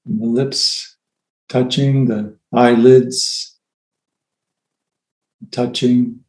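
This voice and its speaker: A man leading a guided meditation as a teacher, with gentle, unhurried phrasing and long pauses. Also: mid-range voice, fairly even intonation. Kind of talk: guided meditation, softly spoken instruction. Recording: roomy, echoey sound.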